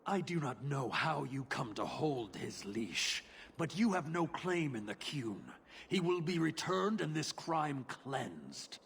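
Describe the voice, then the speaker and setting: An actor playing a stern, zealous warrior-enforcer in a fantasy video game, speaking formally and with command. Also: male voice, a little high, sing-song, slow, creaky, fairly bright tone, a little hiss or hum, full character voice. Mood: cold, commanding. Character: stern, zealous adult male warrior-enforcer of a rigid creed.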